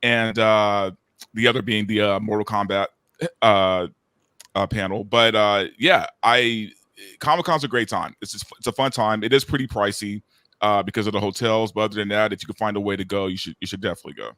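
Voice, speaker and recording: A middle-aged man chatting casually as a panel guest in an informal, halting way. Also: fairly low pitch, sharp tone, clean tone, no echo. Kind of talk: casual chat.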